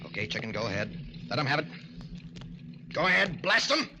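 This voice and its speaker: A man's voice: on the high side, big pitch swings, brisk, background noise. An actor playing a slick, persuasive American gangster boss in an old-time radio crime drama, using slangy, cajoling tough-guy talk. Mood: goading. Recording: muffled vintage radio recording, organ music.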